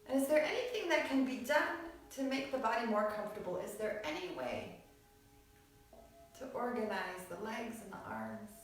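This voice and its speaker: A woman leads a guided relaxation in a very reverberant room, heard at some distance from the mic. She speaks soothingly at a medium pitch, in short, unhurried phrases with long pauses. Music plays behind her. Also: sing-song. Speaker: yoga nidra teacher.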